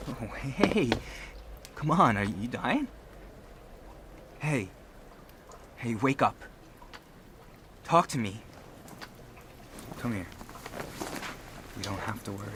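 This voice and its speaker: A man's voice: medium pitch, expressive, slow pace, pauses often. A man playing a caring, casual homeless man in an audio drama. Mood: concerned. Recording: noisy ambient background.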